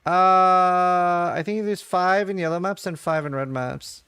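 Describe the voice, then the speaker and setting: A man's voice: sits high, fairly even intonation, a little slow, bright, forceful tone, smooth, dry recording. A man from Norway, casually chatting and thinking aloud while he streams a game and answers chat questions.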